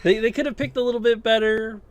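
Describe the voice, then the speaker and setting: A man's voice: very high-pitched, fairly even intonation, quick pace, dry recording. A man co-hosting a podcast, chatting casually about gaming news. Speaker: podcast co-host.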